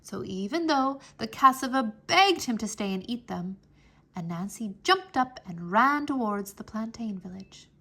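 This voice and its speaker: A woman narrates a children's storybook in a high, bright voice. Her pitch moves widely as she tells the tale, giving it an animated, dramatic feel suited to young listeners. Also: measured pace. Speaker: children's read-aloud narrator. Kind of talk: expressive storybook reading.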